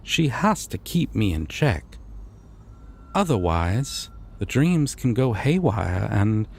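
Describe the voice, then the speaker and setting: A man playing a courteous, solemn god of dreams in a fantasy audio roleplay, with formal, deliberate phrasing. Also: on the low side, very animated, vocal fry, breathy edge, exaggerated voice. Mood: calm, explanatory.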